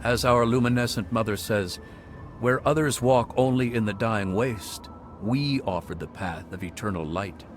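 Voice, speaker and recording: An actor playing a serene, zealous male cult monk in video game dialogue, speaking in a soft, pious, sermonizing way. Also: fairly low pitch, normal speed, slight background noise, natural voice. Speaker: game character.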